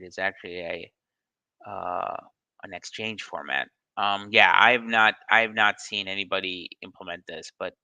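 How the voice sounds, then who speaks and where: A man's voice: deep voice, bright, forceful tone, no room sound, muffled recording. A man on a video call answering questions in a casual live session, hesitant, with many ums and restarts. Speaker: study group presenter answering questions.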